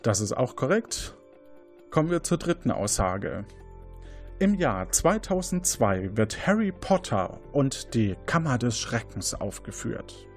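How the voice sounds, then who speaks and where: A man's voice: mid-range voice, sing-song, a little slow, faint music behind. A man hosting an audio quiz game, presenting statements and questions to contestants. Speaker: quiz show host.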